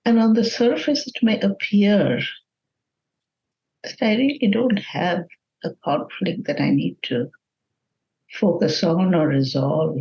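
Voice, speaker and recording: A woman leading a guided meditation in a gentle, measured manner with long pauses between phrases. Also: fairly low pitch, animated, muffled recording.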